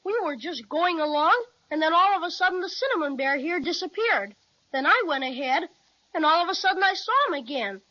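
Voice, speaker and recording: Woman voicing bold young boy, very high pitch, bright, chatty, eager, children's adventure serial, slightly lo-fi. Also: lively intonation, a little fast, heavily acted. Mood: puzzled, excited. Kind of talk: children's radio serial acting.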